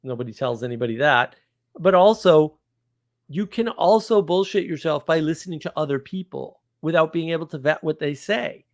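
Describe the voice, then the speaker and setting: Higher-pitched man rambling bluntly. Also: animated, moderate pace. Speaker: live stream host. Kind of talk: casual unscripted monologue.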